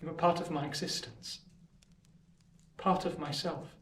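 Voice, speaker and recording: Lovelorn young gentleman, earnest, bright projected voice, impassioned, formal, radio play, roomy and distant. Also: a little high, steady tone, measured pace. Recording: echoey, distant, noisy room.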